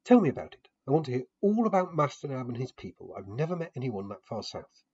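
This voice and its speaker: A man's voice: medium pitch, lively intonation, fast talker, dark tone, breathy edge, muffled recording, pitched above the performer's own voice. A man voicing a friendly, curious young noblewoman with polite, refined phrasing in an audiobook-style fantasy tale. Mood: eager curiosity.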